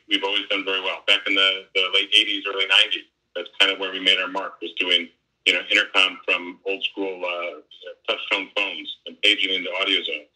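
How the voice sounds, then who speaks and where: A man's voice: low-pitched, pushed and bright, rough recording. A man in his forties explaining technical features conversationally over a video call. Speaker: technical specialist giving a demonstration. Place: home room, indoors, on a video call. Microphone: wired earbuds on a laptop video call.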